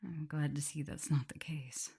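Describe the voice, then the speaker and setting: Casting: woman as tender, teasing vampire mistress and prim teacher; ASMR roleplay, breathy half-whisper, creaky low register. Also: fairly even intonation, moderate pace, exaggerated voice. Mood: caring, coaxing. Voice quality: soft, breathy, often whispering. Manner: tender, playful, hesitant murmuring. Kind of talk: intimate ASMR roleplay addressed to the listener.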